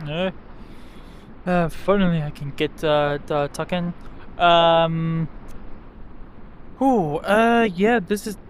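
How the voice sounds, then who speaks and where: A man's voice: a little high, fairly even intonation. A man hosting a gaming video, talking casually to his viewers with lots of fillers. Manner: slow, filler-heavy, addresses viewers as "guys". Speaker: video host.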